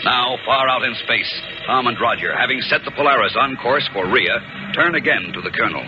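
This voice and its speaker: A man's voice: mid-range voice, a little fast. A man narrating a 1950s radio serial as a dramatic, declamatory announcer. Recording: muffled old radio recording. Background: loud music.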